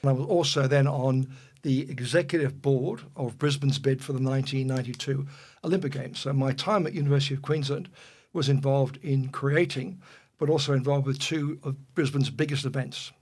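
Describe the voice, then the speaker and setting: An older man from Wales, a guest recalling his career in a relaxed, rambling way with many fillers and restarts. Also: medium pitch, brisk. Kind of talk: relaxed reminiscing.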